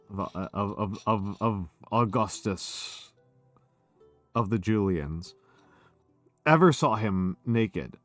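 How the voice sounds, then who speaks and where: A man's voice: lowish voice, no room sound. Drunk male streamer, rambling lecture voice, stumbles over words, light music bed. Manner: rambling, slurring restarts. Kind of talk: drunk casual chat.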